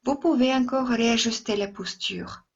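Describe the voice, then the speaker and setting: A woman yoga instructor leading a guided relaxation meditation in a soft, soothing, gentle way, with long pauses between phrases. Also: fairly high pitch, slightly rough, lo-fi sound.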